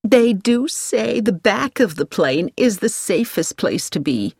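A woman voicing a pleasant, sociable middle-aged American lady with an American accent who talks slowly and asks questions in an audiobook. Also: medium pitch, big pitch swings, creaky, darkish tone, husky, dry recording, clean studio sound, heavily acted. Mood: friendly. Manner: slow, inquisitive and sociable.

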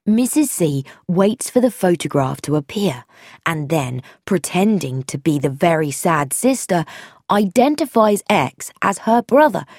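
A woman playing an easygoing young man who reasons things through slowly and thoughtfully. The delivery is dry and close, with a lively lilt. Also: fairly low pitch, dry recording, heavily acted. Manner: slow, thoughtful, plain.

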